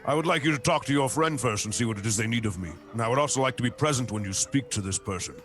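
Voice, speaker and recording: A man playing a tabletop game in character, talking slowly and tersely with frequent hums. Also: mid-range voice, fairly bright tone, faint music behind. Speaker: player.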